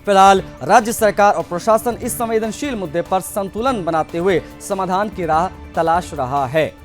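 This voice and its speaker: A man's voice: sits high, normal speed, soft background music. A man anchoring a news broadcast, presenting reports and putting questions to a correspondent.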